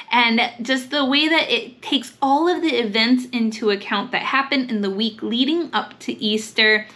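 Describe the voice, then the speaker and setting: Bright, high-voiced enthusiastic woman. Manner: enthusiastic, emphatic. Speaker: host, homeschool mom recommending books. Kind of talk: upbeat vlog book recommendations to camera.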